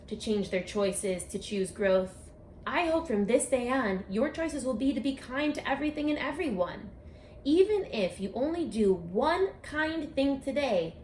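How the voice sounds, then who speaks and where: A woman reading a rhyming picture book aloud for children, speaking expressively and deliberately, straight to her young listeners. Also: sits high. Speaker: children's book author and read-aloud presenter. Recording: echoey room with faint background noise.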